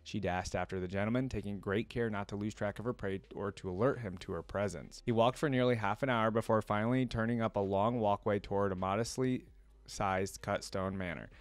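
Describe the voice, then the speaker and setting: A man's voice: lowish voice, steady tone, brisk, no room sound. A young adult man reading a story aloud and adding casual commentary as a reaction video host. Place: indoor room at a computer desk.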